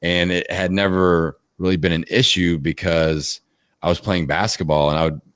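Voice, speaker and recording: A man with a deep voice talking as a guest in a casual interview, energetic and rambling, with many fillers. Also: normal speed, smooth, no echo, studio quality. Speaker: podcast guest, fitness coach. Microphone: video call.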